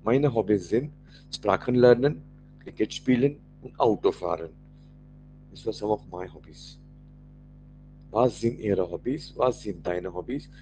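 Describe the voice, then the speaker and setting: A man with an Indian accent, whose first language is Marathi, teaching an online German class, slowly repeating each German phrase for his learners. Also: lowish voice, warm tone, soft background music, rough recording.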